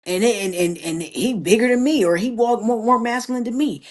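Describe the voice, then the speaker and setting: A man guesting on a podcast, telling stories in a low, sultry, playful voice. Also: a little fast. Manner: low sultry drawl, playful, laughs a lot. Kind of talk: casual conversational storytelling.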